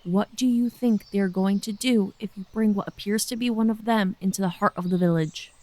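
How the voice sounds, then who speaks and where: A woman's voice: medium pitch, fairly even intonation, a little fast, dark tone, close to an everyday voice. Woman playing an earnest, wary village woman. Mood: urgent, cautioning. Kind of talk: audio drama dialogue.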